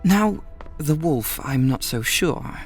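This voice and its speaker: An actor playing a curious, skeptical seeker in an audio drama, with a wry, casual, questioning manner. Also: male voice, a little high, lively intonation, takes it slowly, soft background music. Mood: puzzled, wry.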